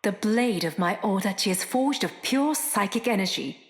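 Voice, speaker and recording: An actress voicing a confident, defiant psychic warrior in a video game, proud and formal. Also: female voice, mid-range voice, lively intonation, moderate pace, close to an everyday voice. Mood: defiant, assured. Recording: long echoey hall reverb.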